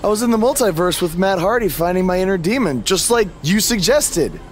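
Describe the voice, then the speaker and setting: An actor playing an earnest, exasperated young rookie wrestler in a video game, plain-spoken and incredulous. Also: male voice, high voice, lively intonation, brisk. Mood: bewildered. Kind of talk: video game cutscene acting.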